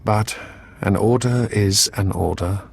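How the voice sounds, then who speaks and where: Formal, composed Imperial spymaster. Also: male voice, lowish voice, creaky, a little mellow, husky, exaggerated voice. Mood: resigned resolve. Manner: formal, measured, courteous.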